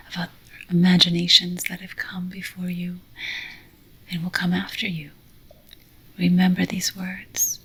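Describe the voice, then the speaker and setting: A woman hosting ASMR, whispering a scripted ceremonial address of affirmations in a breathy, solemn, deliberate way. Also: on the low side, a bit bright, rough recording. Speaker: ASMR roleplay host.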